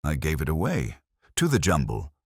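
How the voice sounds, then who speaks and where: A man voicing a brisk, defensive village woman in a mystery story, snappy and indignant. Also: extremely low pitch, huge pitch swings, no echo, clean studio sound, exaggerated voice. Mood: evasive.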